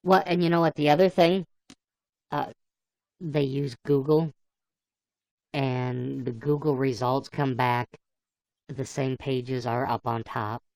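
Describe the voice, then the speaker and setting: Slow-paced gravelly male livestream host. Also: medium pitch, fairly even intonation, dry recording. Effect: voice changer. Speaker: livestream host playing a computer-generated fox. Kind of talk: casual livestream chat.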